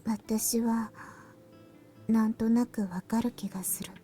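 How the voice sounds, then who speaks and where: A young woman acting a quiet, techy, earnest girl in a visual novel, speaking in a terse, understated way. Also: on the high side, fairly even intonation, normal speed, faint music behind, natural voice. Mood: pensive.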